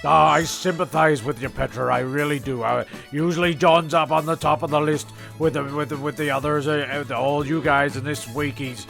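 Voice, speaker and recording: Very creaky, rambling male narrator. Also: fairly high pitch, steady tone, normal speed, few pauses, a bit bright, soft background music. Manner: hesitant, rambling, repeats himself. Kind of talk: rambling comedy radio play narration.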